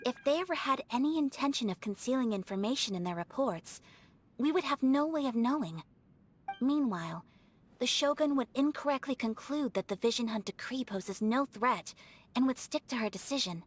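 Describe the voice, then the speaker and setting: A woman playing a refined, thoughtful young noblewoman in an anime game, speaking in a measured, composed and formal way. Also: sits high, expressive. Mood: pensive. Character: poised, refined young noblewoman, thoughtful strategist, gently serious. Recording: background music under the voice. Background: music.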